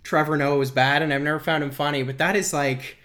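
A woman's voice: low-pitched, fairly even intonation, a little fast, fairly bright tone. A woman co-hosting a podcast, talking casually and critically about comedians, with many fillers. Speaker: podcast co-host. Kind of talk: casual political chat.

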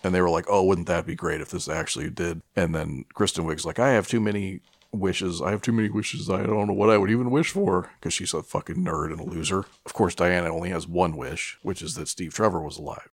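A man's voice: low-pitched, a little fast, few pauses. A man chatting casually as a podcast co-host, retelling a story in a profane, irreverent, rambling way. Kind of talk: casual chat, retelling a film plot.

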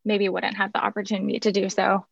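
A raspy-voiced young woman from San Francisco, chatting as a guest in a radio interview with plenty of ums and restarts. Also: medium pitch, monotone, fast, no room sound, lo-fi sound. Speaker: guest, music marketing head. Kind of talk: casual interview chat.

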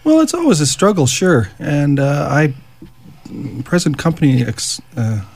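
A man's voice: fairly high pitch, wildly swooping pitch, a little slow, a little mellow, no room sound. A middle-aged man from Canada, a guest on a radio talk show, talking about his work in a wry, easygoing way. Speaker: guest activist. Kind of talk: radio interview. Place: radio studio.

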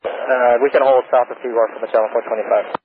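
Male pilot, mid pitch, quick informal radio calls, narrow-band sound with faint static. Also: steady tone. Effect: cockpit aviation radio. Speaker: airline pilot.

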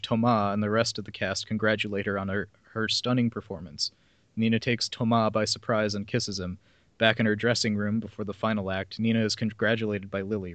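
A man's voice: on the low side, a bit bright, dry recording. A man hosting a podcast, reading a plot summary aloud with casual asides. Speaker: podcast host reading film synopses.

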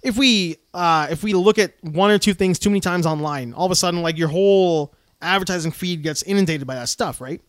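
A young man chatting casually on a podcast, telling a long story with frequent laughs and lively retellings. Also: high-pitched, a little fast, few pauses, dry recording, clean studio sound. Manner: rambling storyteller, laughs often, animated retellings. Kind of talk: casual chat.

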